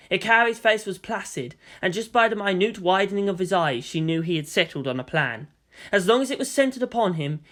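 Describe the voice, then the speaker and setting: A fan fiction reader narrating a long story in a steady, even storytelling voice, acting out the dialogue. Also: medium pitch. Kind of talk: audiobook-style narration.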